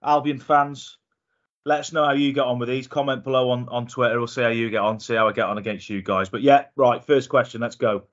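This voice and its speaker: A man's voice: medium pitch, fairly even intonation, brisk. A man hosting a casual football podcast, upbeat and competitive, thinking aloud while he guesses. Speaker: podcast host. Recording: dull lo-fi podcast audio.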